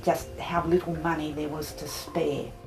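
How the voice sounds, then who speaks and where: Low gravelly woman, measured. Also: flat delivery, music in the background. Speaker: volunteer English teacher. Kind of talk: testimonial interview.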